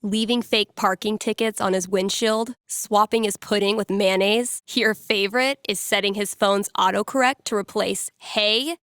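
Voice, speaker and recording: A woman's voice: mid-range voice, fairly even intonation, moderate pace, few pauses, a bit bright, clear, resonant tone, dry recording, studio quality, lightly acted. A woman playing a wry young radio DJ and playful fortune-teller in a video game, speaking in a casual, teasing storyteller's way. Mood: wry storytelling. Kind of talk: video game voice acting, conversational second-person storytelling.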